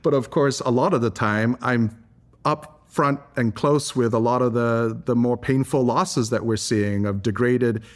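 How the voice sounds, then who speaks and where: A man's voice: medium pitch, steady tone, measured pace. A man from Singapore giving earnest, articulate, reflective answers as an interview guest. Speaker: interview guest, environmental advocate.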